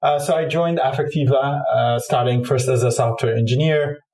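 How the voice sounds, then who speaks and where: A man's voice: medium pitch, steady tone, moderate pace, darkish tone. A man from Egypt, explaining his work as an interview guest in a hesitant, self-correcting way. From Cairo, Egypt. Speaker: product manager, podcast guest. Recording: roomy echo, distant from mic.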